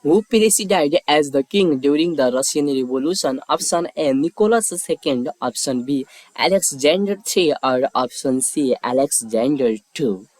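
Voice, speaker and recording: A man's voice: on the high side, lively intonation, measured pace, few pauses, a little mellow, no room sound, low-quality recording. A man reading scripted quiz questions and lettered answer options as a narrator.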